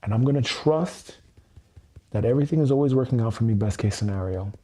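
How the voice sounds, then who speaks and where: A man's voice: mid-range voice, fairly quick, dark tone. A man in his thirties on a livestream, speaking earnest, repetitive self-affirmations with frequent swearing. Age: 30s. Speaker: EFT tapping livestreamer.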